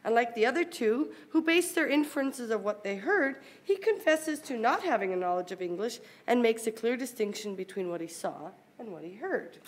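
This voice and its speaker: A woman speaks as a conference presenter, reading a formal paper aloud. Her voice sits a little high, and she moves through long sentences in a measured, carefully articulated way. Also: lively intonation. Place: university conference talk.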